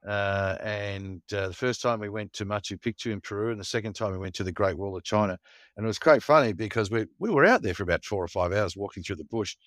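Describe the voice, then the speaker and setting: Aussie guy, lowish voice, dry close sound, jokey storyteller. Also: fast talker, a bit bright, no echo. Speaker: co-host. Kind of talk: casual interview chat.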